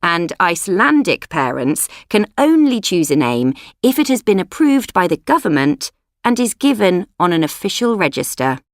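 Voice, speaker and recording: Brief: female narrator for a course text, clear and measured, animated intonation, dry studio sound. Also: on the low side, dry recording. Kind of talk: scripted narration.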